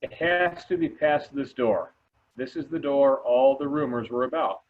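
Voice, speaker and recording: A man's voice: medium pitch, measured pace, phone-quality sound, close to an everyday voice. A man playing a practical teacher leading a treasure hunt, reading a script over thin audio. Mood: matter-of-fact. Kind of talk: amateur table read of a movie script.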